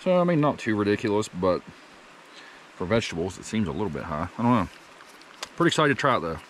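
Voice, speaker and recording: A man in his thirties talking to the camera while cooking outdoors at a wooded campsite, rambling and easygoing as he thinks out loud. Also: lowish voice, very animated, fast, slight background noise. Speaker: camping cook vlogger.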